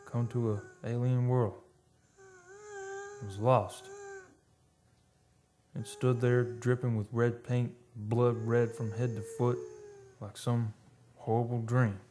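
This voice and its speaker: A man plays a haunted, obsessed oil driller telling his horror in a radio play. He speaks in a mid-pitched, halting, brooding way, with dramatic weight. There is some noise behind him. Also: flat delivery, dark tone, natural voice. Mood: anguished, horrified.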